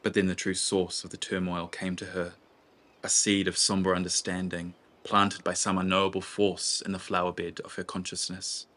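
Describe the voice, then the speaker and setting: A man narrating a scripted fantasy audio drama in a deliberate, literary storytelling manner. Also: low voice, completely flat delivery, a bit bright.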